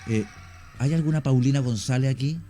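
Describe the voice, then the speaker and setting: Chilean man, radio chat, mellow voice. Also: mid-range voice, fairly quick. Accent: Chilean Spanish. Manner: storytelling, animated with hesitations. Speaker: guest, cemetery tour guide and actor. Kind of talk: casual radio conversation. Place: radio studio.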